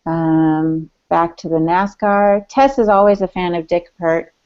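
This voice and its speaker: A woman's voice: on the low side, a little slow, a little mellow, clear, resonant tone. A woman co-hosting a podcast, chatting casually and informally. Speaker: podcast co-host. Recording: dull lo-fi audio.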